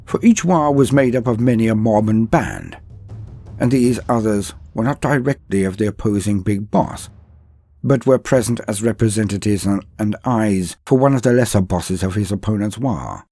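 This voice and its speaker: Gravelly man telling a mock-epic tale. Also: fairly low pitch, moderate pace, warm tone, dry recording, faint music behind. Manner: mock-epic, archaic phrasing with asides. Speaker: narrator. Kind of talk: comedic scripted storytelling.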